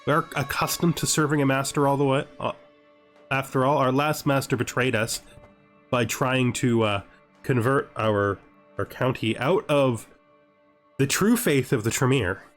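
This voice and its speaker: A man's voice: mid-range voice, moderate pace. A man giving thinking-aloud gameplay commentary, deliberating, repeating himself and often checking with a questioning tag. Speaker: gaming host playing a strategy game. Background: music.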